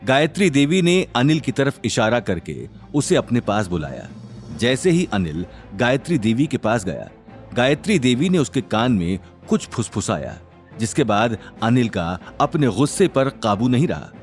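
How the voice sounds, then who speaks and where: A man's voice: medium pitch, big pitch swings, normal speed, soft background music. A man narrating a scripted drama serial in a dramatic storytelling style.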